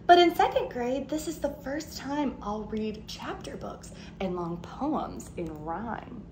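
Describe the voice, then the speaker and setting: Animated, high-voiced woman reading to kids. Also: slow, noisy background. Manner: expressive, animated delivery for young children. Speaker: second grade teacher. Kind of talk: children's picture book read-aloud. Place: living room at home, indoors.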